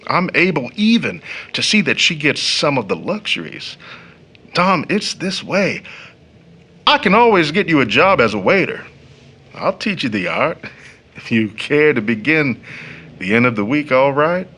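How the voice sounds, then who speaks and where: A man playing a proud, bitterly philosophical young educated waiter in an audio drama, speaking with wry, deliberate irony. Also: fairly high pitch, big pitch swings, sharp tone, husky, full character voice. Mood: earnest, reassuring. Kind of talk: audio drama stage monologue.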